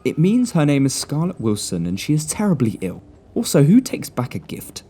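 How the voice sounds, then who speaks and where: A man voicing a clever young male detective who lays out deductions coolly and deliberately. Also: on the high side, huge pitch swings, warm tone, husky, voice pushed up, full character voice. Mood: smug. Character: clever young male sleuth, calm and smug.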